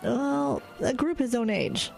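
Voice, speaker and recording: An actress playing a brisk suburban mother in her thirties who scolds firmly and jokes dryly. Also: a little high, vocal fry, soft background music, heavily acted. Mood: gentle. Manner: firm, scolding, dryly joking. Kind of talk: audio drama acting.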